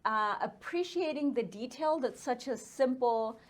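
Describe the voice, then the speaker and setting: Female interview guest, 30s, Mexican accent, higher pitch, casual filler-dotted storytelling. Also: expressive, unhurried. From northern Mexico. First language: Spanish.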